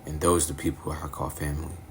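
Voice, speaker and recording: A man voicing an earnest, guarded young male devil hunter from another universe, delivering animated-story dialogue. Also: rumbling low, quick pace, a little hiss or hum. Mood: somber, resolute. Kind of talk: animated-series character dialogue, recorded in repeated takes.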